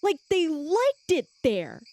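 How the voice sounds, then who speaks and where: A woman's voice: high-pitched, huge pitch swings, slow pace, a little mellow, no echo, exaggerated voice. A woman playing a boastful adventurer with scientific pretensions in a tabletop game, speaking in an emphatic, playfully grandiose way. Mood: animated horror. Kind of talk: improvised tabletop roleplay.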